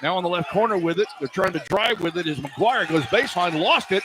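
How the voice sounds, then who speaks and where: Clear arena noise surrounds a man's fairly high voice. He is a radio play-by-play announcer following a basketball game live, rattling off the action in short phrases from courtside. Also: fairly quick. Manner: clipped running description, excited on key plays. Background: arena ambience.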